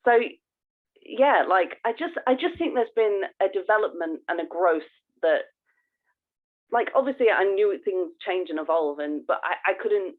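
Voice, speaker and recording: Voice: mid-range voice, lively intonation, moderate pace, phone-quality sound. A podcast co-host chatting casually and effusively, thinking aloud while talking.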